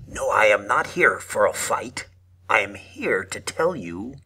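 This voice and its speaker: An amateur performer playing an old, commanding villain in a family radio play, with a slow, formal delivery and a breathy rasp. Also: mid-range voice, lively intonation, pushed and bright, heavily acted, rough recording. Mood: solemn. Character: menacing old armored villain grandfather, commanding and solemn.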